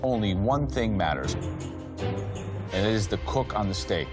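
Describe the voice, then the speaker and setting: A man's voice: on the low side, animated, slow. A man judging a cooking competition, giving a critique of a contestant's dish. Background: loud music.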